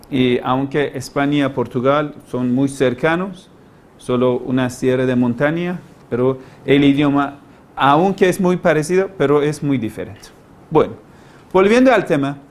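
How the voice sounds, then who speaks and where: Male teacher, foreign-accented non-native speaker, slightly high voice. Informal, didactic lecture delivery, halting, with emphatic key words. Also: darkish tone. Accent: foreign, non-native Spanish. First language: not Spanish. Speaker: language-learning teacher, lecturer.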